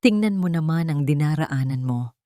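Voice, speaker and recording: A woman's voice: deep voice, animated, moderate pace, warm tone, dry recording, studio quality. A woman voicing a smug rich young man in a story, sarcastic and falsely apologetic. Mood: mocking.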